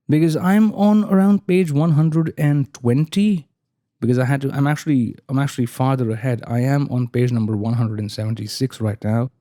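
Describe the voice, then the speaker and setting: Male amateur storyteller, very dark and soft-edged tone, deliberate dramatic emphasis, close dry sound. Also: medium pitch, no room sound. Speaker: amateur reader. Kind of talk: casual talk and dramatic audiobook-style reading.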